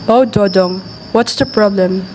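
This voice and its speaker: A woman's voice: mid-range voice, unhurried, close to an everyday voice. Woman playing a concerned father, noisy echoing recording. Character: stern but yielding father of a teenage farm boy. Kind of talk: student radio drama acting. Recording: noisy, reverberant, distant-sounding recording.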